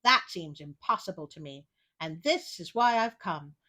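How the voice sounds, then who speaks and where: A woman voicing a cunning, flattering male storybook cat with theatrical awe. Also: on the low side, moderate pace, voice pushed down. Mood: sly challenge.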